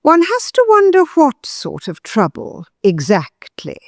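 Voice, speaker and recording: A woman's voice: high voice, wildly swooping pitch, a little slow, creaky, no room sound, studio quality, exaggerated voice. A woman playing a haughty, disapproving older mother-in-law in an audio drama, pompous and full of righteous insinuation. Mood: disdainful, insinuating.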